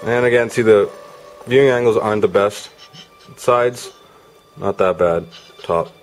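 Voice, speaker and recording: A man with a fairly low voice talks through a hands-on gadget demonstration. He is informal and off-the-cuff, as if showing it to a friend, with faint music playing behind him. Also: a little slow, poor audio. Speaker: product reviewer. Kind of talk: casual hands-on gadget demo. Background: music from demo videos on a player.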